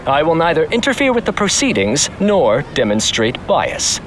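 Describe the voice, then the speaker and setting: A formal scholar opening a debate with ceremony. His fairly high voice rises and falls widely as he speaks. Clear noise sits behind him. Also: very animated, normal speed. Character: formal male scholar, impartial debate judge. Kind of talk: game voice acting.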